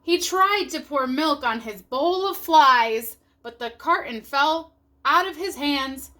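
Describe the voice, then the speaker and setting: A woman narrates a children's storybook aloud. Her voice is high and bright, and it moves through a wide, animated range of pitch. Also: slow pace. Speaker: storybook reader.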